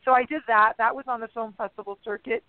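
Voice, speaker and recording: Slightly raspy chatty woman over a phone line. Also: fairly high pitch, steady tone, fast, no room sound. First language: English. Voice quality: a bit raspy today. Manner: chatty, rambling with many fillers. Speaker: guest. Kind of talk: casual interview chat. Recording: phone-line radio sound.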